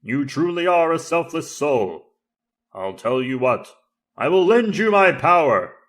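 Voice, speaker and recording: A man voicing an ancient enchanted tiger saber in an audio drama, formal, flattering and theatrical. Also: on the high side, unhurried, voice pushed up. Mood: generous. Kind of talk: audio drama character acting.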